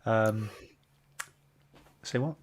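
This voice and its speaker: Man hosting a casual live chat. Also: lowish voice. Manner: hesitant, halting when summarizing. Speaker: host of a comic book club show.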